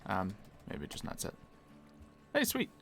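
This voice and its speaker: A man's voice: on the low side, wildly swooping pitch, measured pace, vocal fry, bright, forceful tone, faint music behind. A man with a slight Philadelphia accent chatting casually on a live stream while he plays, musing aloud and laughing easily.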